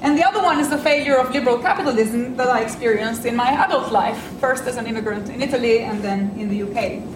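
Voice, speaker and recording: A woman's voice: a little high, distant mic, background noise. Reflective woman lecturing in an echoey room. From Albania. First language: French. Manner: measured and reflective, with light self-deprecating humor. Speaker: invited speaker. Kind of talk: academic lecture. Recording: echoey room with faint ambience.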